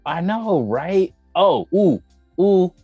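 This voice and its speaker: An American man co-hosting a casual chat, slangy and profane, breaking into exclamations and halting as he gathers his opinions. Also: high voice, expressive, husky. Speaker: podcast co-host. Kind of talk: casual banter about movies and anime. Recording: roomy, dull microphone sound with music behind.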